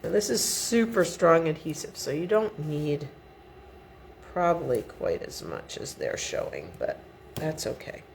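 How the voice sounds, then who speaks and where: A woman's voice: low voice, wildly swooping pitch, a little slow, gravelly, a little hiss or hum. A middle-aged woman giving a chatty step-by-step card-making tutorial at her home craft desk, sniffly from a cold. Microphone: overhead camera above the desk.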